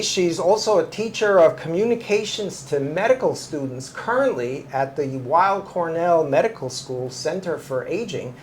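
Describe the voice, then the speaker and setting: A man's voice: fairly high pitch, a little hiss or hum. A man hosting an interview podcast who introduces his guest and keeps her talking with brief affirmations. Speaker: podcast host.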